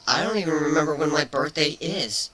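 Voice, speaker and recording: A man voicing a playful, teasing female changeling pony in a cartoon fan fiction reading, matter-of-fact even with mock threats. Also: fairly high pitch, brisk, pitched above the performer's own voice. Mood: chatty, teasing.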